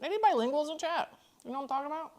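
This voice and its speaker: Chatty woman, slightly high voice. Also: lively intonation, racing, a little mellow. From Norway. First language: Norwegian. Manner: chatty, talks between bites, reads out chat. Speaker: streamer. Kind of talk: casual chat while eating on stream.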